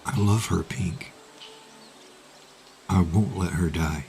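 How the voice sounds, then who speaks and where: A man's voice: sits low, takes it slowly, darkish tone. An actor playing an earnest, anxious and protective young man in a fantasy audio drama, with a hesitant, emotive delivery. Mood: solemn, devoted. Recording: music behind the voice.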